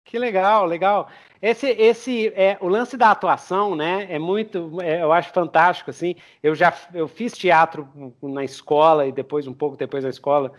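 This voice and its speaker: Male podcast host, Brazilian, fairly high voice, casual interview chat with halting, repetitive phrasing. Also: fairly even intonation. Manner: halting, repeats words while explaining.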